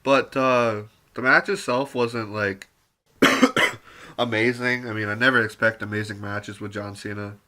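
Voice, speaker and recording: A man giving a rambling, casual spoken review full of fillers and mild swearing, his voice turning raspy with occasional coughs. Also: on the low side, steady tone, unhurried, sharp tone. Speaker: wrestling show reviewer.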